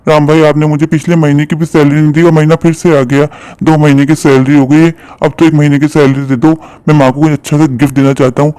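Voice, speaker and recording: An earnest younger brother played by a man in a cartoon moral tale. He pleads respectfully, talking fast in a dry recording. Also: fairly high pitch, level tone, dark tone, clean tone, no echo, natural voice. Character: devoted, poor younger brother, earnest and deferential.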